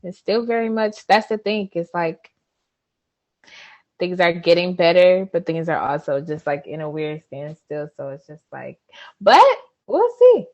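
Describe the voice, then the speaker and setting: Casting: twenties woman guest, easygoing, laughs often, muses out loud. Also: lowish voice, big pitch swings, unhurried, lo-fi sound. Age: 20s. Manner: laid-back, laughs often, thinks out loud. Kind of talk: casual chat.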